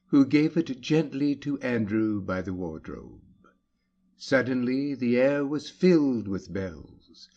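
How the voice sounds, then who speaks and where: A man with a fairly low voice narrates a story very slowly. His delivery is measured and declamatory, like a dramatic audiobook, and the recording is slightly dull.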